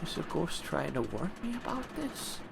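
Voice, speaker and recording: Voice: medium pitch, sing-song, moderate pace, vocal fry, heavy background noise. An actor playing a nervous, panicky small male tank engine in an amateur audio drama, with a hesitant, stammering way of talking. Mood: anguished.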